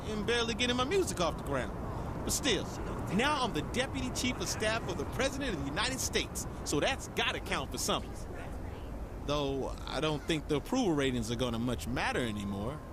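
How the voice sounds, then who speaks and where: Game role: vain, aggrieved gangster star. High, animated delivery, boastful and complaining. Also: male voice, a little fast, heavy background noise. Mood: griping, cocky. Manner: boastful, griping, expressive. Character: cocky, aggrieved male gangster turned celebrity, bragging. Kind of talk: scripted video game audio log monologue.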